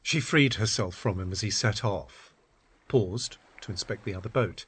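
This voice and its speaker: A man reading a literary story in an expressive, measured, dramatic style. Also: fairly low pitch, breathy edge. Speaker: narrator. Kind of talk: dramatic literary story narration, acting out dialogue.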